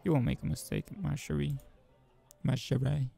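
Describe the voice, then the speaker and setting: A man voicing a fond, encouraging French noble in a visual novel, speaking tenderly and coaxingly in a gravelly voice. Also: medium pitch, big pitch swings, moderate pace, warm tone, faint music behind, heavily acted. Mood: fond, reassuring.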